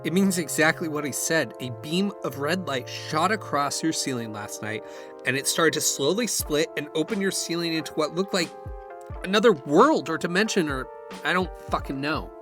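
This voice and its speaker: A man's voice: a little high, expressive, normal speed, natural voice. A man playing a shaken, blunt young housemate in a naturalistic audio drama. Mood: shaken, earnest. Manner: blunt, sweary. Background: music.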